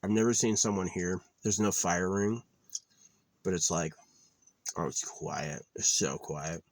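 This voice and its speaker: Young man, very low voice, meandering casual delivery, dry close sound. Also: a little slow, no room sound. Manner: meandering, offhand, trailing off with filler. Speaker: vlogger. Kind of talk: rambling casual monologue. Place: outdoors at a quiet wooded campsite.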